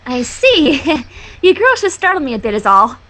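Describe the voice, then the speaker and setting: A woman voicing a nervous, bookish young unicorn mare in a fan fiction reading, halting and evasive with a show of innocence. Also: sits high, very animated, sharp tone, exaggerated voice, rough recording. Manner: flustered, halting, over-innocent.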